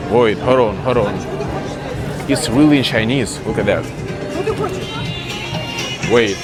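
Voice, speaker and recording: Young man, slow easygoing chat, music playing behind. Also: mid-range voice, over a noisy crowd. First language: Russian. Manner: chatty, curious, exclaims at things, many "like" fillers. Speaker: vlogger. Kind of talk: casual walking vlog for English learners. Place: city streets outdoors and inside a small shop.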